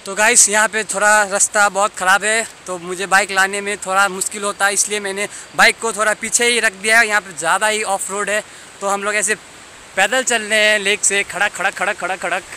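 Young man vlogging, loud road noise. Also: sits high, level tone, fairly quick. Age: young adult. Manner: joking, banters with his friend. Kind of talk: casual motovlog chat. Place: outdoors on a hill road. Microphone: helmet-mounted camera. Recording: outdoor wind and road noise.

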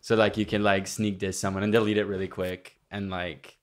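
A man's voice: sits low, little pitch movement, fairly quick. An American man in his twenties in casual, joking podcast chat, rambling and repeating phrases for comic effect. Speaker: podcast co-host. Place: small room indoors. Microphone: podcast microphone on boom arm.

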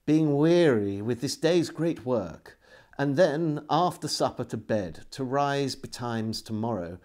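A middle-aged man reading a historical diary aloud as a storyteller, in an expressive, measured way. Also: medium pitch. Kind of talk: dramatic literary reading. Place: indoors, small room lined with bookshelves.

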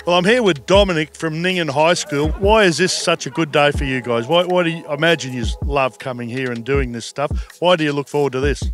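A man's voice: fairly high pitch, brisk, few pauses. Jovial Australian man over music. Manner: jovial, matey banter. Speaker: roving reporter and host. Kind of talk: casual sideline interviews. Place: outdoor sports field. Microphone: handheld reporter microphone. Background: music playing.

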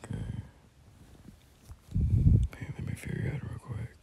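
A young man playing a caring, soothing boyfriend in an ASMR roleplay, murmuring gently and hesitantly in a breathy, gravelly half-whisper. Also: background noise, lightly acted. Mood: tender, reassuring. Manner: gentle, hesitant, unhurried reassurance.

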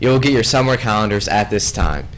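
A man's voice: lowish voice, a little fast. A young man anchoring a school announcements broadcast from a small studio desk, playful and jokey. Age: young adult. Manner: playful, jokey, animated with big hand gestures. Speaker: student news anchor.

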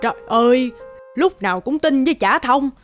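A woman's voice: sits high, animated, moderate pace, over music. A woman playing a grumbling middle-aged wife in a cartoon folk tale, full of exclamations. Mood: exasperated. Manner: exclamatory, complaining.

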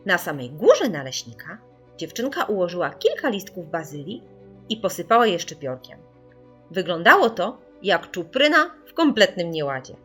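A woman reading a children's story in an expressive, theatrical storytelling manner. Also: on the low side, moderate pace, breathy edge, faint music behind. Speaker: audiobook narrator.

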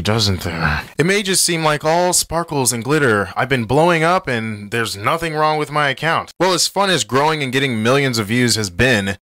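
A man's voice: medium pitch, a bit bright, no echo, studio quality. A young man delivering scripted storytime narration as an online cartoon creator. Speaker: animated storytime YouTuber and TikTok creator.

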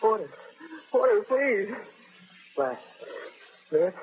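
A man's voice: high voice, very animated, slow pace, narrow, tinny audio, background noise, exaggerated voice. An actor playing a feverish, delirious male patient in a 1930s radio drama, on an old broadcast recording.

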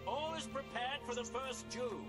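High-voiced medieval tournament official. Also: male voice, normal speed, loud music behind the voice. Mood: proclaiming, grand. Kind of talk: video game acting.

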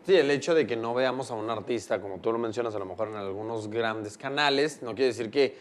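A man's voice: medium pitch, brisk, a little hiss or hum. A man in his thirties with a Mexican accent, hosting a casual television interview in a studio. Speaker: TV morning show host. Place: indoor TV studio set. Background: music.

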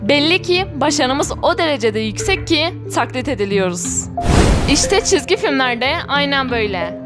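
Female online video narrator, high-pitched, enthusiastic and viewer-directed, over background music. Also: expressive, moderate pace. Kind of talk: scripted narration for a cartoon countdown video.

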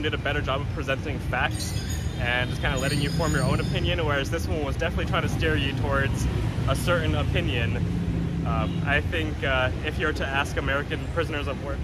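A young man talking casually to a phone camera at arm's length while walking a busy city street. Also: a little high. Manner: relaxed, chatty, thoughtful commentary. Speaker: travel vlogger. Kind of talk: casual travel vlog. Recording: loud street traffic noise. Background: traffic and street bustle.

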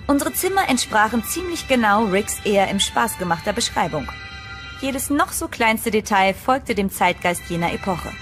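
Young female lead, played by an actress, earnest, uneasy, measured, medium pitch, clear music bed, horror audio drama. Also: animated, lightly acted. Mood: reflective, uneasy. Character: sensible, uneasy young woman, narrating heroine.

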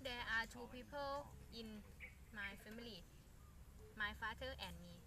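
A teenage girl, a student, reciting basic English slowly and haltingly outdoors in a park. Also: fairly high pitch, recorded from a distance, background noise. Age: teens. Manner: slow, halting beginner English. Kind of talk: scripted classroom English dialogue.

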